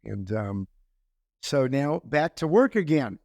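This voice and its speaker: An easygoing man co-hosting a podcast, rambling a little through his stories with fillers. His voice sits at a middle pitch and sounds close and dry. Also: big pitch swings, slow pace, darkish tone, no echo. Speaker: podcast co-host. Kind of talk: casual chat.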